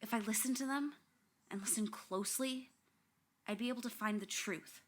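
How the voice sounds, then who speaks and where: A woman's voice: on the high side, moderate pace, lightly acted. A young woman playing a candid, wry daughter haunted by family tragedy, narrating a radio drama. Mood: reflective, wry. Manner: reflective, wry. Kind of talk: first-person scripted narration.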